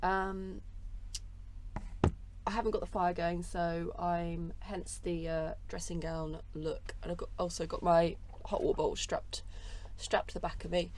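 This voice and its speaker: A woman's voice: fairly low pitch, flat delivery, unhurried, darkish tone. A woman with a cold, sounding nasal, rambling casually through a vlog update about her small art business with lots of fillers. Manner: rambling, many fillers and hedges. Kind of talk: casual vlog chat to camera. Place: home studio.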